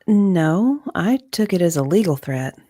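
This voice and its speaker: A woman's voice: lowish voice, animated, measured pace, dark tone, no room sound, clean studio sound. A woman reading the part of an aggrieved, intimidated journalist giving hesitant testimony as a witness in a deposition. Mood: anxious. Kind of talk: dramatic reading of a legal deposition transcript.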